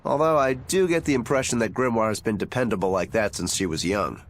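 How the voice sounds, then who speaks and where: A man's voice: lowish voice, brisk, lightly acted. A man playing an easygoing, frank elder swordsman in a video game, casual and wry. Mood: admiring. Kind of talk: animated video game dialogue.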